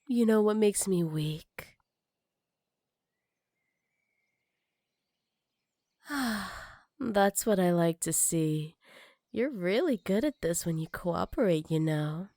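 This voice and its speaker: A woman's voice: fairly low pitch, expressive, slow, dark tone, no room sound, studio quality. A woman playing a jealous, flirty female villain in an intimate ASMR roleplay, speaking breathily and teasingly and often slipping into whispers. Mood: teasing, possessive.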